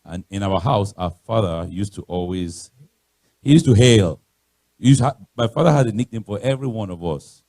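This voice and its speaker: A middle-aged man with a Nigerian accent and a loud voice, giving a chatty, informal talk as a guest speaker in church. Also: lively intonation, moderate pace, dry recording. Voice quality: loud, booming voice. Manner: chatty, anecdotal, repeats phrases. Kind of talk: informal motivational talk to a congregation. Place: church podium.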